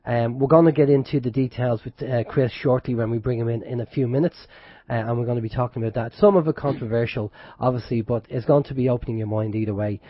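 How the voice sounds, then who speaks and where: A man's voice: mid-range voice, steady tone, fast, no echo. A man hosting an internet radio show in casual chat, with a head cold and sore throat. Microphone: close studio microphone. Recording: dull lo-fi internet radio stream.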